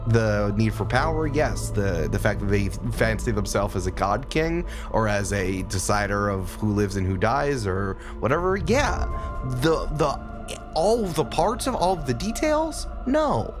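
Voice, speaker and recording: A man talking as a podcast guest, casual and animated, thinking aloud and repeating phrases for emphasis. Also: on the low side, a little slow, music in the background.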